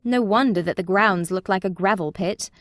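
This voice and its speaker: A level-headed male detective states facts in a crisp, firm voice of middle pitch, read by a woman narrator. The sound is dry and close. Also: moderate pace, no room sound, close to an everyday voice. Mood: brisk.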